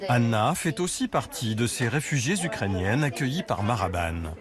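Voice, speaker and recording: A man reading scripted documentary narration in a measured voice. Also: medium pitch, expressive, a loud crowd. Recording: voice-over with loud music behind.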